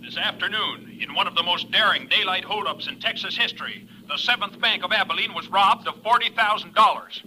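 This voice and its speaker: A very sharp, forceful male newsreader reads in a formal, clipped 1940s style. His voice sits high for a man. The old radio recording carries some noise and hum. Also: brisk, few pauses, low-quality recording. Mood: formal, urgent. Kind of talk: 1940s radio news bulletin acting.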